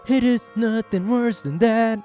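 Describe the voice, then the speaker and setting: A woman voicing a grave older male lawyer in a dramatized story, speaking in a deliberate, drawn-out way. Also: a little high, music in the background, natural voice. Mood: calm, probing. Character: grave, measured older male lawyer, probing an old friend. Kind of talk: dramatized audiobook reading.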